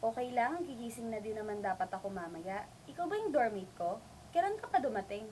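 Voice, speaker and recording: A woman playing an earnest young provincial student and affectionate daughter in an amateur radio drama, reassuring and gently teasing. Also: fairly high pitch, lively intonation, fairly quick, slight background noise, lightly acted, poor audio. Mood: friendly, candid.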